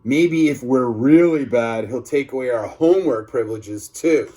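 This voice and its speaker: A man voicing a mischievous, excitable schoolboy in a children's storybook, exclaiming and protesting. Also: medium pitch, normal speed, lightly acted. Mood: cheeky.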